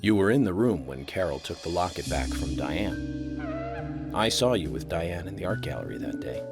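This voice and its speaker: An actor playing a calm, eccentric federal agent in a video game, polite, measured and probing. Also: male voice, low-pitched, heavily acted. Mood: coolly pointed. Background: loud game music.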